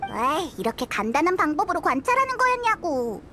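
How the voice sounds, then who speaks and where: A woman voicing a tiny, excitable fairy companion in a game, childlike, whiny and easily alarmed. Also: a little fast, soft background music, exaggerated voice. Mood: doubtful, grumbling. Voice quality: squeaky, childlike. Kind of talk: Korean game voice acting.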